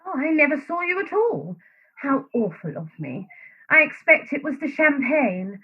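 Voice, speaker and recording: A woman's voice: high-pitched, sing-song, normal speed. An actress playing an anguished, guilt-ridden woman in a secret love affair in a radio play, earnest and fretful. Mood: flustered, covering up. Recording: thin phone-like sound.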